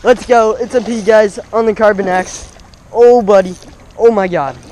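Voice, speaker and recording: A young man commenting live on his fishing outdoors by a pond, breaking into excited exclamations with every catch. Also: high-pitched, normal speed, rough recording. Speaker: fishing vlogger.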